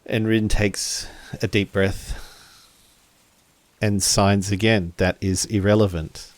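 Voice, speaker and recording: Male game master, low pitch, slow deliberate pacing, halting narration, dry recording, tabletop roleplay storytelling. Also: flat delivery, no echo.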